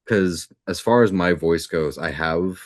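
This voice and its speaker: A young adult man with a deep natural speaking voice, talking as a voice actor guest in a casual interview, easygoing and reflective with plenty of fillers. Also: measured pace, no room sound, clean studio sound.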